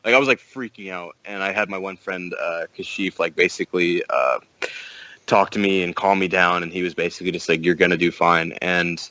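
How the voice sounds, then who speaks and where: A young man with a very low, deep voice talks loosely on a podcast, stringing thoughts together with plenty of "like" and "you know." The sound is close and dry, with no room around it. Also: steady tone, a little fast, pushed and bright, no room sound, rough recording. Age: young adult. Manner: rambling, many fillers and restarts, laughs often. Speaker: podcast guest. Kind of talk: casual podcast chat.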